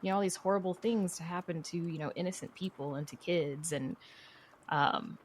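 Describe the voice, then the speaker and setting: Female podcast guest with a low-pitched voice; candid, chatty, conversational storyteller. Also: normal speed, a little hiss or hum. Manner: candid, chatty, peppered with "you know" and "like". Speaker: podcast guest, horror writer.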